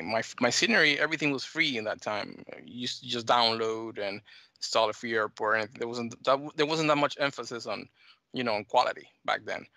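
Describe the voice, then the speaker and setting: A middle-aged man with a mixed Dominican and American accent, answering interview questions in halting, conversational phrases. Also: mid-range voice, bright, forceful tone, dry recording. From United States and Dominican Republic. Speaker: talk show guest, flight sim scenery developer.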